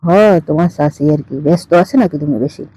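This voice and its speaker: A woman's voice: lowish voice, quick pace, warm tone, slightly rough, no echo. A woman with a Bangladeshi accent playing a teasing, demanding older aunt in an improvised phone-call drama. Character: teasing, demanding older aunt who owns a fish farm.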